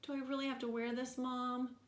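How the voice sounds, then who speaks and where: Lady doing a glum stubborn school boy, whiny, raised pitch, echoey, storybook. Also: flat delivery, a little fast, close to an everyday voice. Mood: upset, stubborn. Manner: whining, protesting. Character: self-conscious, stubborn fifth-grade boy, glum and whiny.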